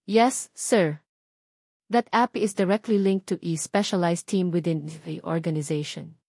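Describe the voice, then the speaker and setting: A man's voice: sits high, steady tone, a little slow, gravelly, darkish tone, dry recording, clean studio sound, exaggerated voice. Audio drama security agent, male, read by a woman: loyal, discreet, courteous, sincere. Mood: sincere, respectful. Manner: formal, polite, sincere.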